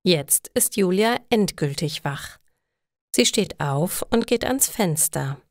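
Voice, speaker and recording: A woman narrates a simple story for language learners. Her voice sits slightly low and moves expressively in pitch. She goes very slowly and deliberately, as a careful storyteller would. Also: lively intonation, no echo, studio quality. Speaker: narrator of German listening lessons.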